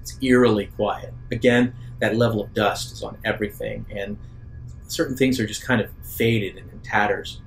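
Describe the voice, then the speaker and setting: A man narrating an improvised tabletop roleplaying game as game master, in a measured way with hesitant pauses. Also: fairly low pitch, steady tone, vocal fry, faint music behind. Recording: roomy echo, faint background noise.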